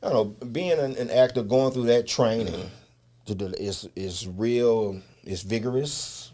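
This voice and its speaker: A man's voice: medium pitch, a little slow, warm tone. Laid back guy, actor type, casual reflective interview chat, mid voice. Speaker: stage actor.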